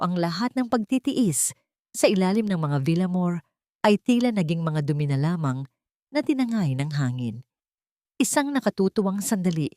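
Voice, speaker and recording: A woman's voice: fairly low pitch, a little mellow, no echo, studio quality. A woman reading a scripted dramatic story with a solemn, sentimental delivery. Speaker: audio story narrator.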